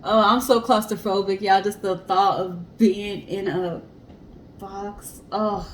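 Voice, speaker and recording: A woman's voice: mid-range voice, slow pace. Chatty woman co-host, noisy background. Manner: chatty, expressive, acts out imagined remarks. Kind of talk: casual chat and informal storytelling.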